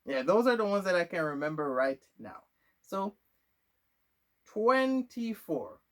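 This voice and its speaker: A man's voice: sits high, sing-song, a little slow, fairly bright tone. A young man from Jamaica, answering questions about himself in a loose, rambling vlog monologue full of fillers and restarts. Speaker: vlogger. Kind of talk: casual Q&A monologue.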